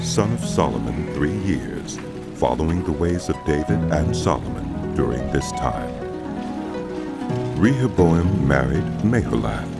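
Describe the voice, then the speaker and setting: A man narrating scripture as a scripted drama, measured and solemn, with music behind his voice. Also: rumbling low, gravelly. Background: loud music.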